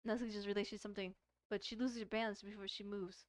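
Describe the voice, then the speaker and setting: A woman's voice: medium pitch, flat delivery, fast talker. A woman streamer reading a visual novel aloud expressively, mixing dramatic narration with casual commentary and stumbling over words.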